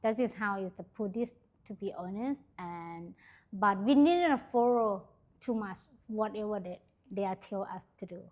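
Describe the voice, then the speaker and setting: A young Thai woman from the Northeast, telling her life story in a casual interview, in halting English with a Thai accent and many fillers. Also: mid-range voice. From Thailand, Isan region. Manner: hesitant, many fillers, simple grammar. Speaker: interview guest sharing her testimony.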